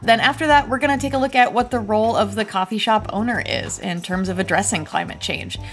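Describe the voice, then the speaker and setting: A woman from the United States hosting a podcast, reading scripted narration in a clear, upbeat way. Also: medium pitch, brisk, fairly bright tone, faint music behind. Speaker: podcast host.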